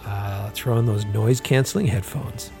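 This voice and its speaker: Male podcast host, hoarse and raspy, loose chatty delivery full of ums. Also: lowish voice, normal speed, mellow, soft background music. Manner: rambling, lots of ums and uhs. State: hoarse from a busy week. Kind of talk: casual podcast talk.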